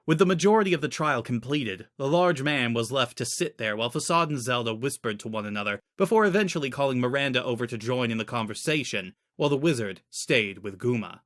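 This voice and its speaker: A man's voice: medium pitch, expressive, a little fast, few pauses, a bit bright. A man narrating a story in the style of a dramatic audiobook reading.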